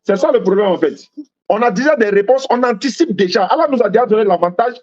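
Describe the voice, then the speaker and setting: A man whose first language is Malinke argues his faith in a heated debate. He repeats himself and talks over the other side, his high voice rising and falling widely. The sound is muffled and lo-fi. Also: lively intonation, quick pace. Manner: insistent, repeats points, interrupts.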